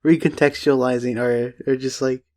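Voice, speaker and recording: A man's voice: mid-range voice, steady tone, moderate pace, mellow. A young man co-hosting a podcast, chatting in a loose, rambling way with plenty of swearing. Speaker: podcast host. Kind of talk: casual chat.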